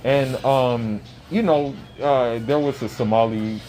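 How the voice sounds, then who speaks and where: Man, host, casual chat, relaxed, hesitant, middle pitch. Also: takes it slowly, slight background noise, low-quality recording. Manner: relaxed, hesitant, many fillers and restarts. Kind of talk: casual conversational interview.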